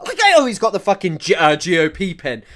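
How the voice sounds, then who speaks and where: A man's voice: high voice, huge pitch swings, quick pace, fairly bright tone. A man in his twenties giving casual, mocking reaction commentary, rambling with lots of fillers and restarts. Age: 20s.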